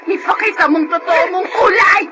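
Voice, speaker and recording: Shrill, spiteful old grandmother. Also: normal speed, raised voice. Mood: enraged. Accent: Filipino. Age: older. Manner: shrill scolding, wailing. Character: spiteful, scolding elderly grandmother, melodramatic and easily enraged. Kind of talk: melodramatic Filipino radio drama acting. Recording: radio drama, very noisy backing.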